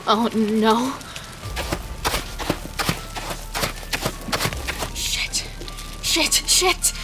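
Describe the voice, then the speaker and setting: A woman playing a thrill-seeking, remorseless killer and thief, narrating in an intimate, breathy way for a horror audio drama. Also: a little high, expressive, extremely slow. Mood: alarmed. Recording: music under the voice. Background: music.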